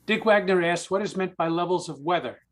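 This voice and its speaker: Male Q&A moderator, lightly raised pitch, casual halting delivery, relaying questions. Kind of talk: relaying audience questions informally.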